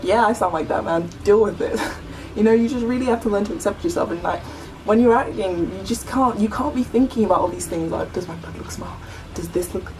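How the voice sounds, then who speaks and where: A young woman with a deep voice chatting earnestly to camera in a vlog, full of "like" and "you know". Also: a little mellow, recorded from a distance, slight background noise, rough recording. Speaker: vlogger. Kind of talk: casual chat to camera.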